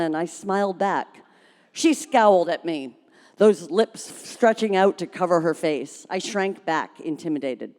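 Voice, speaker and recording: A woman reading a humorous story aloud at a live event, expressive and dramatic, in a reverberant hall. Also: fairly low pitch. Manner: expressive, acts out dialogue.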